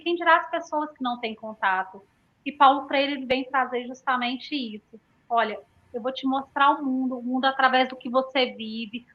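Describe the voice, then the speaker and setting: A Brazilian woman in her thirties speaking reflectively in a conversational panel discussion, often punctuating her points with tag questions. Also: sits high. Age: 30s. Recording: dull lo-fi audio.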